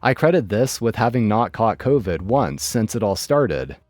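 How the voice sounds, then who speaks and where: A man narrating online stories in a lively, dry storytelling manner. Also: lowish voice, moderate pace, no room sound, faint music behind.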